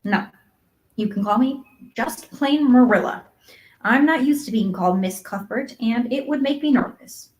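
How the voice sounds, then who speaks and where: A woman playing a stern, unsentimental guardian in a storybook, brisk, matter-of-fact and disapproving. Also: a little high, voice pushed up, natural voice, rough recording. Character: stern, practical, unsentimental woman raising an orphan. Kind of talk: acted audiobook dialogue.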